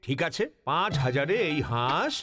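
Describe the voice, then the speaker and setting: A man's voice: a little high, lively intonation, moderate pace, music in the background, close to an everyday voice. A man voicing a sly, boastful cartoon stranger, haggling in an emphatic, theatrical way. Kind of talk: cartoon comedy dubbing.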